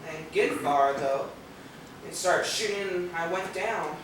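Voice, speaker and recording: A woman's voice: on the low side, unhurried, close to an everyday voice. An actress playing a feisty, foul-mouthed wounded woman in a stage play, brash and slangy. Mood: animated storytelling. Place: theater stage, far microphone. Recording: echoey, distant, noisy videotaped stage.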